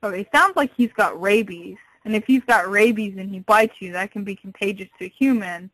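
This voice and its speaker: A woman's voice: mid-range voice, brisk, a bit bright, no echo. A woman working at a pet store, explaining things patiently and a little hesitantly on a customer service phone call. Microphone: telephone.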